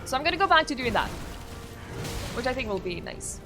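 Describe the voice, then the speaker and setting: A woman's voice: high voice, sing-song, fairly quick, music in the background. A young woman from Norway chatting casually with her chat while streaming a game, answering questions with frequent fillers and small laughs.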